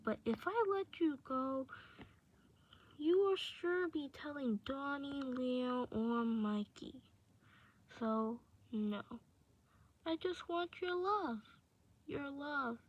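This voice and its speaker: A woman's voice: high-pitched, slow pace. A woman voicing a possessive, lovesick male captor in an amateur audio roleplay, with syrupy, pleading delivery. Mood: clingy, obsessive. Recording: dull audio with clear background noise.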